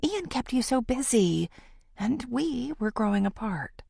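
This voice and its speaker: A woman's voice: fairly high pitch, lively intonation, unhurried, gravelly, warm tone, slightly rough, no room sound, clean studio sound, voice pushed up, full character voice. A woman voicing an anxious, apologetic woman confiding in her best friend in an audiobook, hesitant and pleading.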